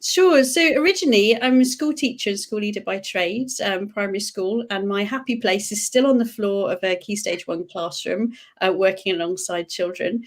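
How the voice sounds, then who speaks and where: A middle-aged woman speaking as an education consultant and webinar guest, lively and self-deprecating. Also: medium pitch, moderate pace, few pauses.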